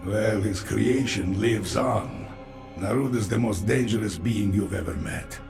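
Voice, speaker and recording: A man's voice: fairly low pitch, a little slow, gravelly. A man playing a grave, solemn alien advisor in a video game cutscene, giving portentous counsel. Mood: ominous. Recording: echoing sound with game music behind.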